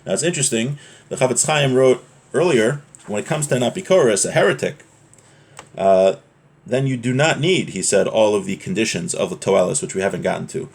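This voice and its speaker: A man's voice: medium pitch, animated, fairly quick, vocal fry. Man teaching an informal religious law class. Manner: explanatory, conversational, checks in with "right?". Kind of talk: informal religious lesson, reading Hebrew texts and explaining them.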